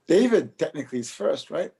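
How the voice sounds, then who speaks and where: A man's voice: a little high, very animated, brisk, dark tone. A man who helps lead the event, introducing himself enthusiastically with some restarts. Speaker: event organizer.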